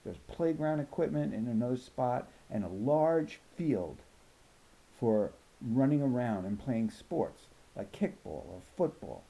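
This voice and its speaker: A man's voice: medium pitch, animated, unhurried, creaky, warm tone, rough recording. A man voicing a chatty, bossy preteen schoolgirl with slangy valley-girl phrasing for a storybook. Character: gossipy, bossy preteen schoolgirl who says "like" a lot.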